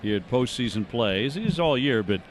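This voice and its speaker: A man's voice: medium pitch, animated, moderate pace. A man bantering casually on a live baseball radio broadcast, with a joking, teasing manner. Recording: faint stadium noise behind a broadcast.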